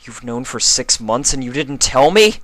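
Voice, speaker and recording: A man's voice: mid-range voice, expressive, moderate pace, a bit bright, no room sound, voice pushed up, natural voice. Man voicing an emotional, hot-tempered young pony stallion. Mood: upset, demanding. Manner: earnest, emotional. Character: young male dragon turned pony, hurt and hot-tempered. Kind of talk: acted fan fiction reading.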